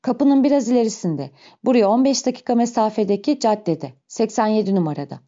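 A woman voicing a flustered, inexperienced young male gravedigger who speaks in a hurried, worried way. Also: on the high side, expressive, mellow, dull audio, close to an everyday voice.

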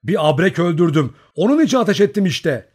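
A man voicing an excitable, hot-headed young Cossack sentry in an audiobook story, exclaiming urgently. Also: high voice, fairly quick, slightly rough, pitched above the performer's own voice, full character voice. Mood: agitated.

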